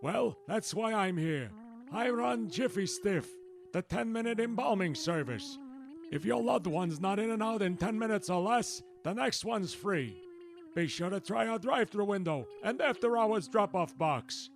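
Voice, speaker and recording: A man playing a cheery, morbid huckster pitchman in a parody radio commercial. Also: expressive, measured pace, vocal fry, full character voice. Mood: chipper. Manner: chipper salesman patter.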